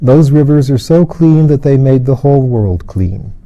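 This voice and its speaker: A man voicing a serene, wise and kindly fairy-tale woman in a storybook, with calm, solemn, poetic phrasing. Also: medium pitch, normal speed, highs cut off, natural voice. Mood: calm, assured.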